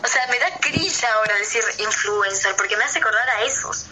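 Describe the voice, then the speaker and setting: A woman's voice: fairly high pitch, fairly quick, pushed and bright, faint music behind, poor audio. A young woman co-hosting a radio show, giving opinions in casual, slangy chat. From Argentina.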